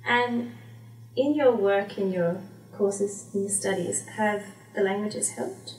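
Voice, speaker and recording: A woman interviewer asks measured, formally phrased questions in a mid-pitched voice. She sounds distant from the mic in an echoey room, with faint noise behind her. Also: a little mellow.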